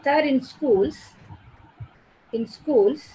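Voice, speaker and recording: A woman's voice: high-pitched, drawn-out words, dark tone, muffled recording. A woman with an Indian English accent moderating an online conference, relaying questions politely to the speaker.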